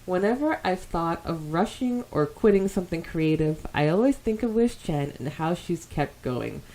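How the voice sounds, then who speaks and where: A woman's voice: on the low side, lively intonation, moderate pace, mellow, low-quality recording. A woman co-hosting a casual fan podcast, chatting and reading out listener comments in a giggly, enthusiastic way. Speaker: podcast co-host.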